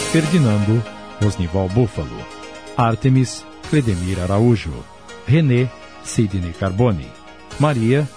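A man with a Brazilian accent narrating a radio drama and presenting it in a scripted style. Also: on the low side. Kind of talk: scripted narration and credits reading.

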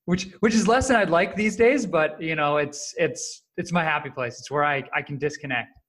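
A man in his thirties chats easily as a podcast guest. His voice sits a bit higher than most men's, and he tells his story in a relaxed, joking way. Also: moderate pace. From Canada. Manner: friendly, jokey, relaxed storyteller. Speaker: podcast guest, startup co-founder. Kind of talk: casual interview answers.

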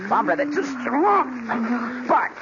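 A man's voice: very high voice, lively intonation, measured pace, heavily acted. A man acting a terrified husband begging desperately for help in a 1970s radio drama, his cries strained. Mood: panicked pleading. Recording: noisy, muffled 1970s radio recording.

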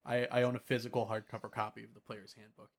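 A man's voice: medium pitch, flat delivery, fast. A man chatting casually as a podcast host about tabletop gaming, often repeating words and trailing off.

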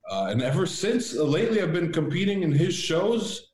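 A man who grew up speaking Latvian, a podcast guest talking in an earnest, reflective way with halting restarts. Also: a little high, sing-song, darkish tone. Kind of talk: casual interview chat. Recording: roomy echo.

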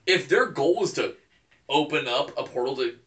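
A man co-hosting a casual podcast, animated and freely profane. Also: medium pitch, normal speed, recorded from a distance. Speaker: podcast co-host. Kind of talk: casual chat.

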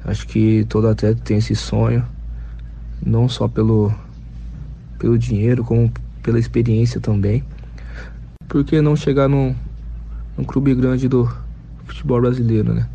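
A man's voice: medium pitch, flat delivery, moderate pace, creaky, long pauses, dark tone. A man of twenty-eight, a football striker giving hesitant interview answers and repeating words as he thinks. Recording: muffled lo-fi recording with faint noise.

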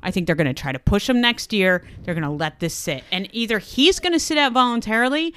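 A woman's voice: medium pitch, expressive, fast talker, a bit bright, clean tone. A woman co-hosting a casual sports chat, emphatic and repeating her points for effect. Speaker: sports talk show co-host.

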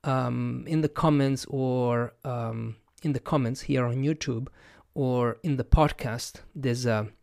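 A man with an Italian accent talks to his podcast audience at an unhurried pace. He thinks aloud, trailing off and restarting with plenty of fillers. His voice sits at a middle pitch, recorded dry and close with no room sound. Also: steady tone, gravelly, a little mellow, no room sound. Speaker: podcast host and language educator. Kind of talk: casual reflective monologue to camera.

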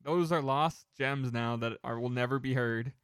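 A man co-hosting a casual podcast, telling rambling, animated anecdotes with some casual swearing. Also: medium pitch, moderate pace, clear, resonant tone, no echo. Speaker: podcast co-host.

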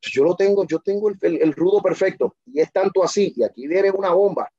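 A confident man tells his stories emphatically, stumbling and restarting as he goes. His voice is dark and husky. The sound is dry and dull. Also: high-pitched, fairly quick, no echo. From Dominican Republic. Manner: emphatic, self-assured, full of restarts. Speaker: interview guest. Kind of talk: casual interview storytelling.

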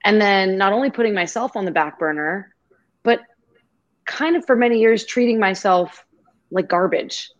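A woman's voice: mid-range voice, moderate pace, highs cut off. A woman guest on a video-call interview, talking in an effusive, grateful and rambling way. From half Greek, half Irish family. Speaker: podcast guest.

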